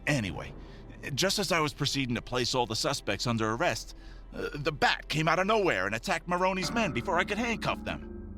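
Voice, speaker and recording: A fairly high, lively-pitched man's voice from an actor plays a shady tough-guy detective in an audio drama. He is defensive, rattled and blustering, with music playing clearly behind him. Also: fairly quick. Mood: rattled, insistent.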